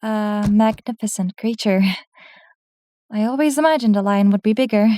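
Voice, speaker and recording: An actress playing a guarded undercover inquisitor in a fantasy audio drama, with a polite, careful way of speaking. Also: female voice, medium pitch, moderate pace, warm tone, no echo, studio quality, lightly acted. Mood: polite, wary. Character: guarded undercover female inquisitor posing as businesswoman.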